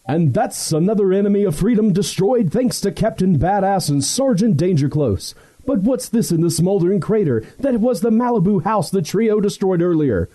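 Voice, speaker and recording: High, mellow, animated male narrator. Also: moderate pace. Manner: mock-dramatic storytelling. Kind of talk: scripted comedic narration.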